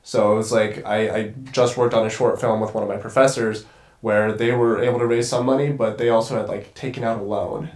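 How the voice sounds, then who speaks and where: A man's voice: lowish voice, flat delivery, fairly quick, darkish tone, reverberant. A congested young man talking as a guest cinematographer in a casual conversation, rambling and swearing casually. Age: young adult.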